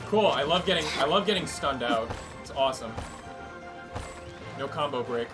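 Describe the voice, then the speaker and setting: A man's voice: medium pitch, animated, normal speed. A man doing casual gameplay commentary as a streamer, with wry muttered asides and swearing. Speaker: game streamer. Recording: loud game music and effects behind.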